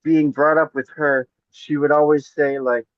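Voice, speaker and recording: A man from northern Maine, a poet guest, answering questions in a measured, hesitant way with many pauses. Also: fairly high pitch, little pitch movement, no echo, highs cut off. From northern Maine, United States. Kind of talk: interview answers.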